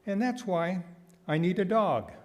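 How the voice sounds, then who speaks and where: A man delivering a prepared sermon with wry asides, phrasing deliberately and repeating words for emphasis. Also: high voice, a little mellow. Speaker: guest speaker giving a sermon, nonprofit founder.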